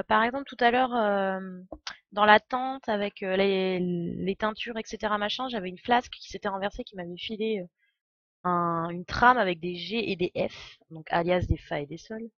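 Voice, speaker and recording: A woman's voice: mid-range voice, normal speed, no room sound, lo-fi sound. Playful woman streaming a game. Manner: playful, giggly, exclamatory, rambling. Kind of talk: casual live game commentary.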